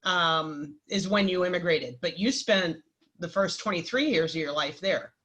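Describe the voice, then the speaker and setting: A woman's voice: fairly low pitch, normal speed, a bit bright. A woman in her forties hosts a casual livestream interview. She is chatty and encouraging, leans on fillers and restarts, and affectionately calls her guest "hun.". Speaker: host.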